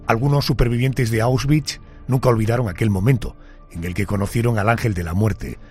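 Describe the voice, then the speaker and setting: A male host mixing measured, dramatic narration with questions to his guest. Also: lowish voice, fairly even intonation, faint music behind. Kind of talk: documentary narration and interview questions.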